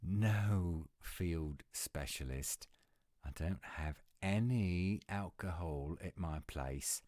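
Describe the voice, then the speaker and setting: Man playing a deep-voiced grumpy British werewolf. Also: takes it slowly, warm tone, no echo, clean studio sound. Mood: stern, explaining. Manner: curt, exasperated, scolding. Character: grumpy, exasperated British male werewolf, gruff protector. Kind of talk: scripted audio roleplay.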